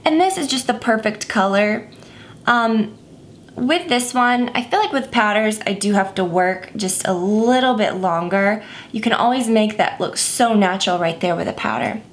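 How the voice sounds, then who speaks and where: A woman's voice: mid-range voice, moderate pace. A woman giving a casual makeup tutorial, chatty and rambling with many fillers, a bit stuffy and scratchy. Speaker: makeup vlogger.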